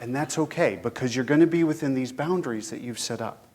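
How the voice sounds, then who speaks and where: Brief: male guest lecturer with a head cold, a bit sniffly, chatty and engaging, mid pitch. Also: fast, a little mellow. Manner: conversational, engaging the audience with questions. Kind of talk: conference lecture.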